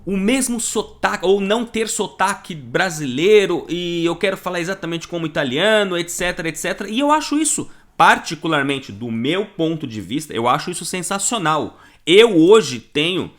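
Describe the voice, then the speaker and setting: A man with a Brazilian Portuguese accent gives a live online lesson, talking with animated emphasis and putting rhetorical questions to the viewer. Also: high voice, normal speed, few pauses. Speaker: online Italian course teacher.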